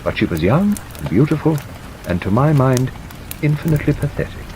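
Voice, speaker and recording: A man playing a worldly, sentimental elderly male lawyer in an audiobook, declaiming melodramatically with sighs and pauses. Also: mid-range voice, close to an everyday voice, rough recording. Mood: dry, worldly. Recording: steady rain noise over narration.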